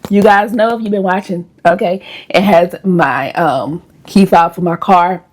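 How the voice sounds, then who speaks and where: A woman with a hoarse voice sits a little low in pitch as she chats easily with her audience. She talks straight to viewers in a relaxed, conversational vlog style. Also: a little slow. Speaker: lifestyle vlogger. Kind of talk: casual chat to viewers.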